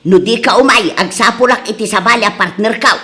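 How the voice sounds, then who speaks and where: Brazen nagging mother played by a woman, Ilocano accent, high voice, theatrical comedy delivery. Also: a little fast, pushed and bright. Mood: pushy, wheedling. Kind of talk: comedy radio-style drama acting.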